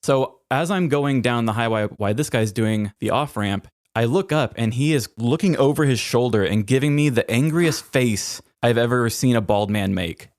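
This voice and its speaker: A man's voice: mid-range voice, moderate pace, few pauses, darkish tone, no room sound, studio quality. A man chatting casually as a podcast co-host and telling anecdotes in a conversational way.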